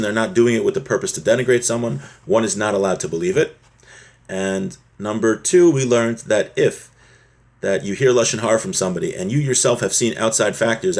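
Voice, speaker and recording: A man with a husky voice giving an informal religious lesson, explaining a text step by step and often rephrasing his points. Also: fairly low pitch. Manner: repeats and rephrases, checks in with "right?". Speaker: religious teacher giving a class.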